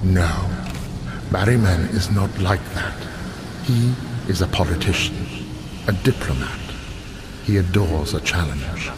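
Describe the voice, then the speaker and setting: Elf envoy, very low voice, solemn, formal measured phrasing, game dialogue, loud background noise. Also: male voice. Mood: earnest. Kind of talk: video game voice acting.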